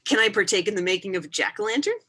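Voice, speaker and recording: A woman's voice: mid-range voice, animated, very fast, bright, forceful tone. A woman playing an eager, curious young child in a theatrical staged reading in mock-Shakespearean English.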